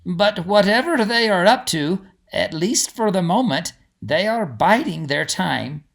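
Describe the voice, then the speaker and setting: A man voicing a protective male guide with a measured, careful, reassuring manner and formal wording, in a storybook reading. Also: sits high, lively intonation, gravelly, fairly bright tone, pitched above the performer's own voice, full character voice. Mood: guarded. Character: calm male guide, protective, forcing a cheerful tone.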